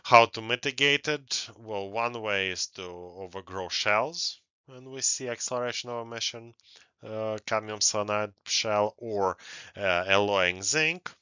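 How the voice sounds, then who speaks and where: A middle-aged man gives a technical conference lecture in a low, clear and bright voice. He speaks haltingly, pausing often as he explains. The headset recording is close and dry, though somewhat dull. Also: no room sound. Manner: halting, frequent hesitations and pauses. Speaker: academic researcher presenting. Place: indoors, recorded online presentation. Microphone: headset on a video call. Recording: dull, band-limited headset audio.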